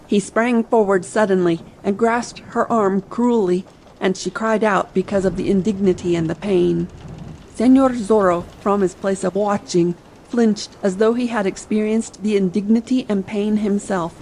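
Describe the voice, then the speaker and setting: A woman narrates a classic adventure story in a scripted, dramatic style. Her mid-pitched voice has noticeable fry. Faint sea ambience runs behind her. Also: normal speed, slight background noise, low-quality recording. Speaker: audiobook narrator. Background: soft sea ambience.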